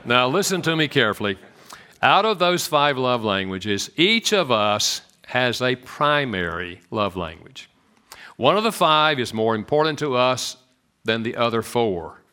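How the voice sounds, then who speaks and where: A man with a Southern US accent giving an animated, joking church talk on marriage to an audience. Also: a little slow, smooth. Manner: animated and joking, swoops in pitch. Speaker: speaker on marriage.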